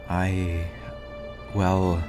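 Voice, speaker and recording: Man acting low-voiced, stubborn, evasive man. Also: completely flat delivery, extremely slow, darkish tone. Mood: sheepish, pleading. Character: stubborn, evasive man obsessed with his projects. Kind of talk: dramatic dialogue in an audio drama. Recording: music behind the voice.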